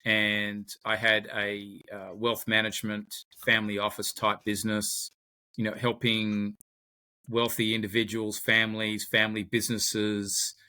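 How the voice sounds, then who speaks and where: A man's voice: lowish voice, monotone, measured pace, sharp tone, smooth. An Australian man, a podcast guest, talking in a conversational interview and often repeating words as he searches for his phrasing.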